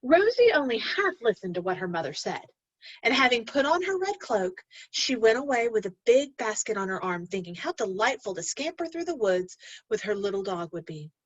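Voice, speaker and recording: Female primary teacher for storybook read-aloud, lively swooping delivery, instructive, on a dull, dry lo-fi recording. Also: on the high side, normal speed, breathy edge, no room sound. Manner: expressive, instructive, addressing children directly. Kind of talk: read-aloud lesson to young learners, storybook narration.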